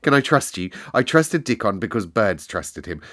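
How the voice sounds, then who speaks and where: A man's voice: lowish voice, very animated, moderate pace, fairly bright tone. A man voicing an earnest, bold young girl in a storybook, speaking with solemn, childlike directness. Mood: solemn, imploring.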